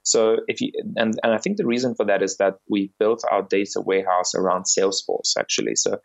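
A man, a company founder and podcast guest, explaining his business in a measured way with frequent fillers and restarts. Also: lowish voice, animated, gravelly, lo-fi sound. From Bulgaria, raised in South Africa. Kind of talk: podcast interview.

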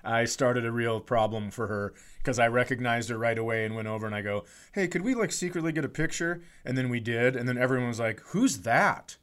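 A man's voice: mid-range voice, brisk, hardly any pauses, fairly bright tone. A man co-hosting a podcast in casual comedic banter, dry and teasing, with some swearing. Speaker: podcast co-host.

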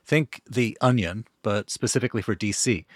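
A man's voice: on the low side, moderate pace, slightly rough, no room sound, studio quality. A man with an American accent hosting a podcast in a conversational style. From Washington, D.C., United States. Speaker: podcast host.

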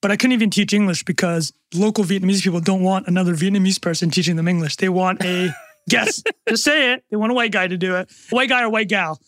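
Canadian man in his thirties, podcast guest; high, fast, wisecracking delivery, recorded close and dry. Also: fairly even intonation, fairly bright tone, dry recording. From North America. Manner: joking, self-deprecating, quick asides. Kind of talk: casual podcast interview.

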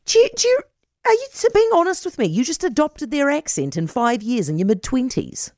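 Woman radio host, New Zealand accent, quick talker, animated pitch, dry studio sound. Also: no echo. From South Africa. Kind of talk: radio interview.

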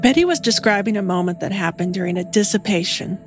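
A woman giving measured, scripted documentary narration as the podcast's narrator. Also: mid-range voice, over music.

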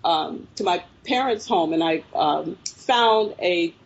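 Husky-voiced woman guest, lo-fi sound. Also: on the low side, lively intonation, takes it slowly. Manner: reflective storytelling with frequent fillers. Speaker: filmmaker guest. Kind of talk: conversational interview answers.

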